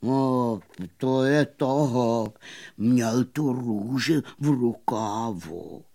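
A woman's voice: extremely low pitch, dark tone. A woman voicing a grumpy male bumblebee in a children's story, with a grumbling, mumbled, plain-spoken delivery.

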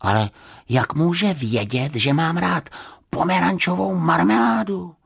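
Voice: medium pitch, fairly even intonation, vocal fry, voice pushed up, close to an everyday voice. A storybook reader voicing a small, polite young bear, earnest, timid and courteous. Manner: courteous, careful, slightly hesitant.

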